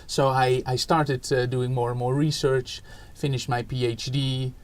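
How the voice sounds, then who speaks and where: A man from the Netherlands speaks English with a Dutch accent. He answers podcast questions thoughtfully and often pauses with fillers and restarts his sentences. His voice sits at a middling pitch. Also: level tone, slight background noise. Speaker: education researcher guest. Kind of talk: podcast interview.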